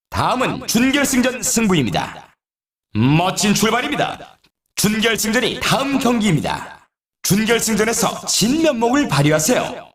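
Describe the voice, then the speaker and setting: A man playing an excitable race announcer in an arcade sports video game, calling out in a showy, emphatic way. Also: sits high, roomy sound. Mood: upbeat, congratulating. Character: excitable male snowboard race announcer hyping competitors.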